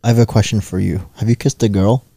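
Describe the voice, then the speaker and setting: Low, dark-voiced young man teasing. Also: fast talker, gravelly, slightly rough, dry recording. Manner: teasing, deadpan provocative questions. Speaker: podcast co-host. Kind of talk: casual chat.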